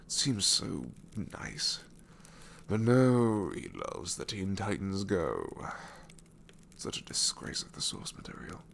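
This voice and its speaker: A man voicing a sassy male shadow demon in an ASMR roleplay, snarky and rambling with soft whispered asides. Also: deep voice, huge pitch swings, takes it slowly, creaky, a little hiss or hum, full character voice.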